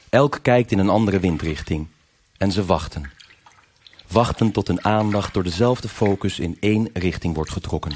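An actor narrating a noir crime drama as a sober storyteller, in terse, measured, deliberate phrases. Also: male voice, fairly low pitch, darkish tone, a little hiss or hum, lightly acted. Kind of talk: scripted present-tense noir narration.

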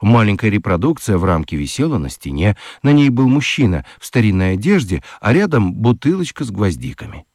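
Низкий мужской голос рассказчика, очень живой. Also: male voice, lowish voice, very animated, a little mellow, no echo, clean studio sound. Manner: deliberate, dramatic pauses. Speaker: narrator. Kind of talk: expressive scripted storytelling for children's radio play.

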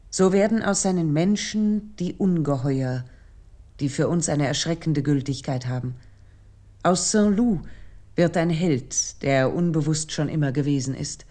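A woman reading scripted essayistic commentary in a literary radio production, measured, articulate and serious. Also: low voice, warm tone.

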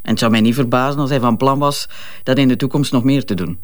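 Middle-aged Flemish wife: worn-out, sardonic, frank and unabashed, for radio-play dialogue. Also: female voice, a bass voice, fast talker. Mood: scornful. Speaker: actor. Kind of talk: audio drama dialogue.

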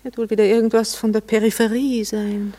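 An actress playing a proper married lady in a German radio play, guarded, arch and coolly mocking, quick to reprove. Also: a little high, little pitch movement, mellow, close to an everyday voice. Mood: sarcastic, jealous.

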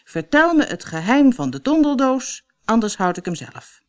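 A woman's voice: fairly high pitch, sing-song, moderate pace, pitched above the performer's own voice. A woman voicing a bold, greedy and curious male soldier in a fairy tale. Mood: demanding. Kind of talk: storybook character voice.

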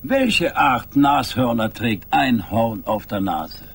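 An excitable, solicitous gentleman in a radio play. He is theatrical and fussy, speaking slowly with huge swoops of pitch. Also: vocal fry, slightly rough, exaggerated voice. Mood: excited. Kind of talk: 1980s German radio play acting.

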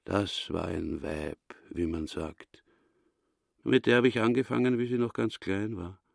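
A man's voice: a little slow, dry recording, clean studio sound. An actor playing a brutal, bitter caretaker in his fifties with an Austrian accent, blunt and hectoring, in a radio play. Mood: gruff, boastful. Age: 50s. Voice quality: gravelly, low. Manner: blunt, hectoring, asks and answers himself. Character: brutal, misogynistic caretaker aged fifty-nine, bitter and boastful.

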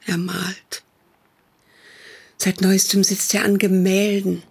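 Husky, reproachful woman. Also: medium pitch, little pitch movement, takes it slowly, a little mellow, full character voice. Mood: disapproving. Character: stern, disapproving questioner of an indebted man. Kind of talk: German radio play acting.

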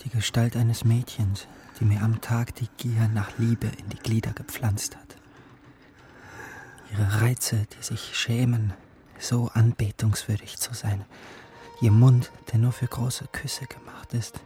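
An actor playing a yearning, brooding young shop assistant who narrates in an intimate, poetic radio drama monologue. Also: male voice, on the low side, level tone, slow, dark tone, slight background noise.

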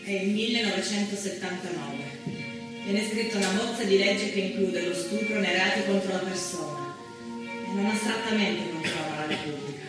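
A woman performing as a solemn theatrical narrator, declaiming deliberately, recorded from a distance with heavy echo and music behind. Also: medium pitch, close to an everyday voice. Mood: emphatic. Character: solemn female narrator of women's history, reciting scripture and law. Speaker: stage actress. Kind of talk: dramatic scripted theatrical narration.

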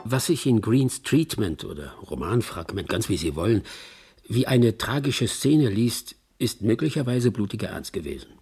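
A man acting a dry, knowing agent who recounts a case in a radio play, measured and wry, with a gravelly, husky voice. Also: fairly low pitch, animated, a little mellow, soft background music, exaggerated voice. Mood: dry, reflective. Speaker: narrator. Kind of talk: radio drama commentary.

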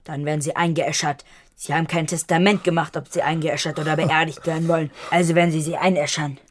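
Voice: mid-range voice, little pitch movement, fast talker, dry recording, lightly acted. A young performer playing a blunt, homesick twelve-year-old grandson in a radio drama, earnest and stiffly formal. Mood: blunt, insistent. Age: child, about twelve. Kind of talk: German radio drama acting.

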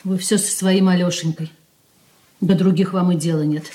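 Actress as a mellow, low-voiced resentful daughter. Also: female voice, steady tone, moderate pace, slight background noise, natural voice. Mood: aggrieved. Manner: aggrieved, scolding. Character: resentful, self-righteous married daughter, always complaining. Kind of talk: Russian stage-style radio drama acting.

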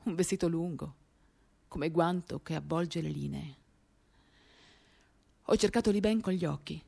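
A woman performing an expressive, theatrical first-person literary reading for radio, moving between animated and hushed delivery. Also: sits low, a little slow, vocal fry, breathy edge. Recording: acoustic guitar accompaniment. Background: guitar music.

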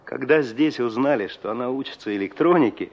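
An actor as a gruff but good-natured village forest warden in an old Soviet radio play. His middle-pitched voice is plain-spoken and conversational, on a slightly muffled recording. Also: brisk, a little mellow, natural voice. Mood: reflective, explaining.

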